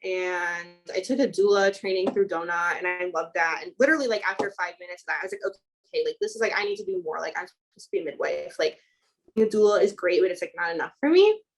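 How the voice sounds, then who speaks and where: A woman's voice: fairly low pitch, lively intonation, quick pace, poor audio. A woman in her twenties from Chicago, a student midwife telling her story as a podcast guest in a chatty way, full of "like" fillers. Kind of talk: casual interview chat.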